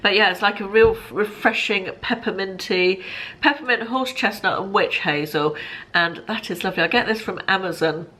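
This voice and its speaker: A woman's voice: medium pitch, moderate pace, pushed and bright, low-quality recording. Chatty British woman in her fifties. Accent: British English. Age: 50s. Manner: chatty, friendly, rambling with fillers and self-corrections. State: slightly bunged up. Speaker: lifestyle vlogger. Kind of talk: casual chat to camera. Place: bright modern living room indoors.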